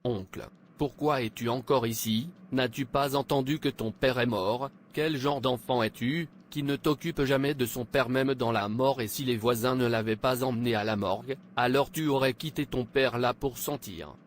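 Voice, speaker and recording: A man's voice: medium pitch, normal speed, slight background noise, close to an everyday voice. A man playing an exasperated, reproachful relative in a cartoon family drama. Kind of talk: dubbed cartoon dialogue.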